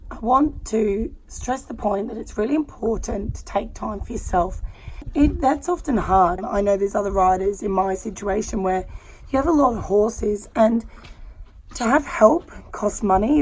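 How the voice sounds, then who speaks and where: A woman's voice: a little high, slight background noise, poor audio. An Australian woman who has lost most of her voice, talking candidly and from the heart while sitting on the ground out in a horse paddock. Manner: candid and heartfelt, with many fillers. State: hoarse, losing her voice. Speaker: horse rider and vlogger. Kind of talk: casual vlog talk to camera.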